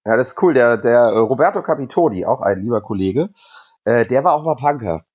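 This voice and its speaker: A man's voice: mid-range voice, animated, brisk, darkish tone, husky, muffled recording. A man from Hesse with a light Hessian accent, chatting casually and jokingly in a podcast conversation. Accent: Hessian German. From Germany, Hesse. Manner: joking, rambling, with fillers.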